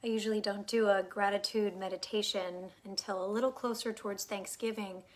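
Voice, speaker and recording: A woman leading a guided meditation in a calm, unhurried, soothing way, her soft phrases trailing off into breathiness. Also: mid-range voice. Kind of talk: guided meditation, gentle instruction.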